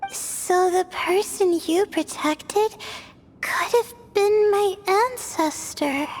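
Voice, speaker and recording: Very high-voiced, timid young woman. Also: takes it slowly, big-room sound. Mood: surprised. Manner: hesitant, deferential. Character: timid, polite young woman, soft and hesitant. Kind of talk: video game voice acting.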